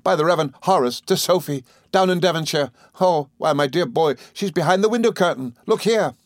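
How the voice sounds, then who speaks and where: A man voicing an exuberant, gushing, good-natured young gentleman in an audiobook, full of excited exclamations and repetitions. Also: on the high side, a little fast, no echo, clean studio sound, voice pushed up, natural voice. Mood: gleeful. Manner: effusive, exclaiming, repeats himself excitedly. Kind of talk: audiobook character voice.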